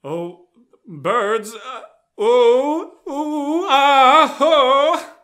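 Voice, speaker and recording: Unsettling professor villain, played by a man, audio drama. Theatrical, halting, savors each word. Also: extremely high pitch, pushed and bright, voice pushed up, full character voice. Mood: giddy. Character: eccentric unsettling male psychology professor villain.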